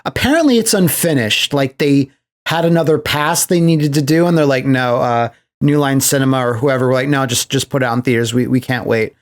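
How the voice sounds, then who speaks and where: A man's voice: medium pitch, brisk. A man hosting a podcast in casual film chat, telling stories and acting out quoted reactions. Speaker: podcast host. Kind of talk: casual chat about films.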